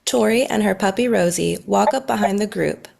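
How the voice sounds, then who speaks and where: A woman's voice: medium pitch, normal speed, creaky, darkish tone. A woman narrating scripted stage directions for a story read-through.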